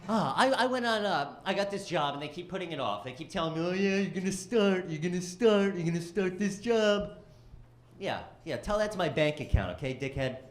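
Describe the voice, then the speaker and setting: Comic, man; high, bouncy, loud stand-up delivery. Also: fairly quick, echoey room. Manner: loud and excitable, bursts into shouting and singing. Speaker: stand-up comedian. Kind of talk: stand-up set.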